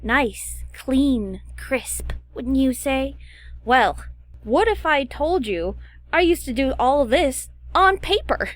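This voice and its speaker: Young woman chatting about her art, kinda high voice, rambly and goofy, dry close mic. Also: animated, slow pace, no echo. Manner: self-deprecating, goofy, rambling. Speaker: animator vlogger. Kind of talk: casual chatty voice-over about own art process.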